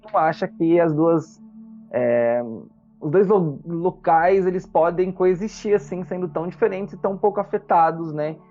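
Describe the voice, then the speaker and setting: A game master improvising narration for a tabletop role-playing game, explaining things in halting phrases. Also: mid-range voice, narrow, tinny audio, soft background music.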